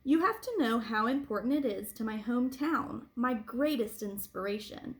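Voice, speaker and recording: High female voice, read-aloud storytelling, teacherly, expressive emphasis, for young children. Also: moderate pace. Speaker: teacher reading a picture book aloud.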